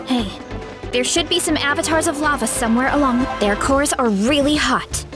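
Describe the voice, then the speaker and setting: An actress voicing an earnest, modest young female warrior in a video game, gentle but determined and sincere. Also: high-pitched, moderate pace, close to an everyday voice. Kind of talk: English video game voice acting. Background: game music.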